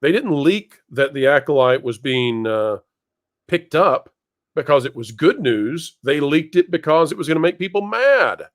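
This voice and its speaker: A man's voice: on the high side, big pitch swings, normal speed, no echo, studio quality. A man speaking as a panel guest, explaining his opinions bluntly and assertively in conversation.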